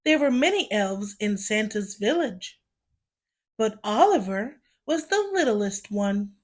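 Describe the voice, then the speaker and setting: A woman reads a children's story aloud, narrating expressively and deliberately for young listeners. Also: medium pitch, very animated.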